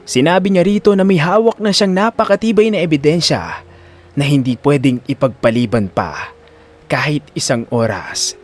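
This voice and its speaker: A man's voice: on the high side, animated, moderate pace, faint music behind. A man narrating a scripted audio drama in a dramatic storytelling style.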